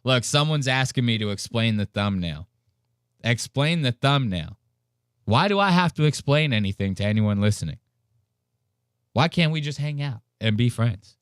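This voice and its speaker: A man's voice: medium pitch, expressive, normal speed, clear, resonant tone, dry recording. Casual, foul-mouthed man riffing, close and dry. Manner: profane, slangy, mocking. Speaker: live stream host. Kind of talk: casual reaction commentary.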